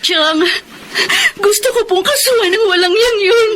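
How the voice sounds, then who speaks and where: An actress playing a tearful young daughter in a radio drama, crying and shouting. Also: female voice, very high voice, unhurried, a little hiss or hum. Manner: sobbing, shouting. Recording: loud music behind.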